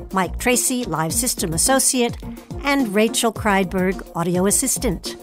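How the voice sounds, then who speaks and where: Higher-pitched woman hosting a theatre programme. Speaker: host of a theatre podcast. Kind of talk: hosted interview and scripted credits.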